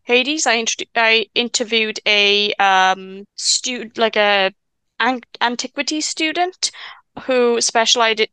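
A woman's voice: a little high, bright, forceful tone, smooth, dry recording. A Welsh-speaking woman in her twenties, a podcast guest chatting casually with frequent stammers and restarts from a stutter. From Wales. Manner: chatty, quick asides and laughs. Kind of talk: casual chat.

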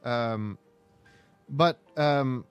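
A man playing a hesitant, apologetic, helpful apothecary shopkeeper in improvised tabletop roleplay, speaking haltingly and politely. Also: mid-range voice, smooth, dull audio, faint music behind.

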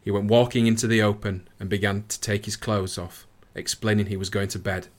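A man's voice: fairly low pitch, little pitch movement, a little fast, natural voice. A man playing a concerned army officer in the trenches in a radio play. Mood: grave.

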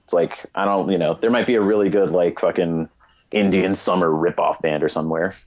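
Low-voiced young man, rambling, dull sound. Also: steady tone, fairly quick, darkish tone. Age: 20s. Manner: rambling, many fillers. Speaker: podcast co-host. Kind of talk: casual call-in chat.

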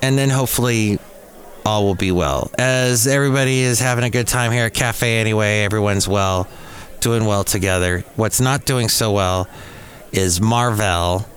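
A man's voice: fairly low pitch, normal speed. A man hosting a podcast in a casual, rambling solo monologue, playful and wordy with puns and asides. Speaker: podcast host. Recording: music behind the voice. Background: music.

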